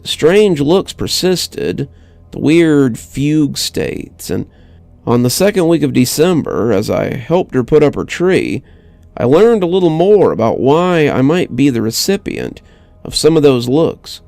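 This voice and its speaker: A man reading a scripted first-person horror story in a measured, dramatic way with deliberate pauses. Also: a little high, very animated, vocal fry, darkish tone, no room sound. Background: music under the voice.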